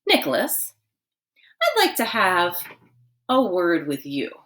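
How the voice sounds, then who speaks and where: A woman voicing a stern, formal female language arts teacher in a storybook, speaking in measured phrases. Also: fairly low pitch, huge pitch swings, fairly bright tone, full character voice.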